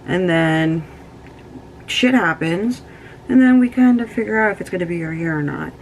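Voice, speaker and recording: A woman's voice: lowish voice, expressive, moderate pace, slight background noise, low-quality recording. A woman in her twenties talking casually and candidly while doing her makeup, swearing freely and using lots of fillers. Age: 20s. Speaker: beauty vlogger. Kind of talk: casual get-ready-with-me chat.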